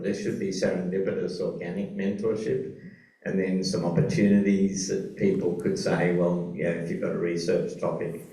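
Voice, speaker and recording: A man on a conference panel, speaking in a measured, thoughtful way with some hesitations. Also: deep voice, creaky, dark tone. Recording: roomy conference hall, mic far off.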